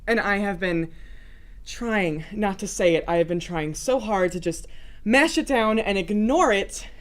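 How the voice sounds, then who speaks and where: A woman playing a flustered, lovesick young woman in a dramatic monologue, confessing in a halting, emotional way. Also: mid-range voice, animated, close to an everyday voice. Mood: aching, pleading. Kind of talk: dramatic TV monologue performed by a student. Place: student TV studio.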